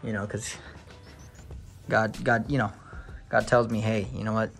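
Low-voiced man touring a house on his phone. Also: measured pace, vocal fry, faint music behind. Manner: excited exclamations, frequent fillers. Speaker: real estate video host. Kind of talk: casual walkthrough narration. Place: inside a large empty house.

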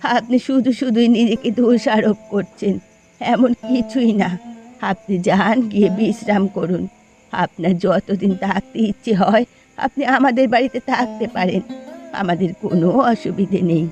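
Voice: medium pitch, a little slow, darkish tone, close to an everyday voice. A performer voicing gossipy, hospitable village women in a Bengali cartoon, with music behind. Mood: reassuring. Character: village women, gossiping mother-in-law and daughter-in-law, hospitable but scornful. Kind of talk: dubbed Bengali cartoon dialogue.

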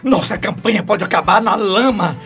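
A man's voice: sits high, moderate pace, fairly bright tone, faint music behind. A man playing a scheming, hot-tempered husband with a Brazilian accent in a radio soap, ranting and scolding. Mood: angry scolding. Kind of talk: radio soap opera acting.

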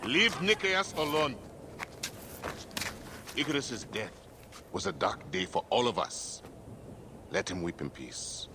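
An actor playing a hearty, protective man of ancient Egypt in a game, talking in a familiar, drawn-out way. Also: medium pitch, wildly swooping pitch, background noise. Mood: solemn. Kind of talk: dramatic game cutscene acting.

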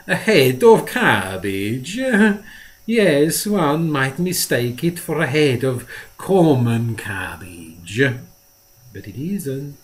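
A man's voice: a little high, voice pushed up, full character voice. A man voicing a fat, greasy little male dealer who talks in an oily, teasing sing-song.

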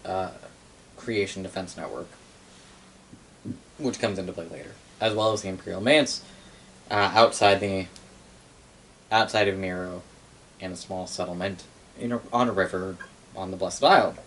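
A man's voice: low voice, normal speed, pauses often, fairly bright tone, low-quality recording. A young adult man telling a story casually and off the cuff in a wry, sweary manner, recorded in a living room by a camera a few metres away. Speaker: storyteller recounting game lore. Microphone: camera mic a few metres away. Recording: room recording with clear background noise.

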